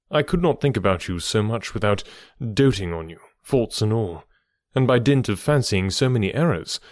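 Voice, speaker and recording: A man voicing an earnest gentleman who gently lectures, in a lower, measured tone for audiobook dialogue. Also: no echo, studio quality, close to an everyday voice.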